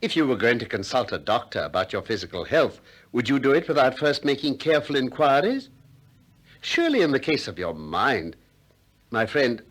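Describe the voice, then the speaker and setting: An actor playing a probing, gently ironic Greek philosopher who also narrates, in a 1960s radio play. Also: male voice, medium pitch, breathy edge. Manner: questioning, gently ironic, deliberate.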